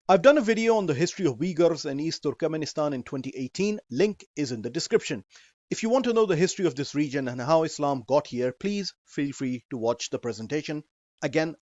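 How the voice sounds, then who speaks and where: A man in his forties with a Pakistani accent, presenting a scripted talk in an earnest, measured way. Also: fairly high pitch, darkish tone, no room sound. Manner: earnest, measured, with Arabic phrases. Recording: dry, slightly dull recording.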